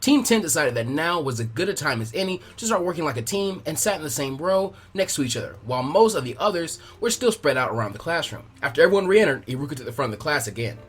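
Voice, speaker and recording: A man's voice: fairly high pitch, sing-song, quick pace, hardly any pauses, soft background music. A man reading fan fiction aloud as scripted story narration.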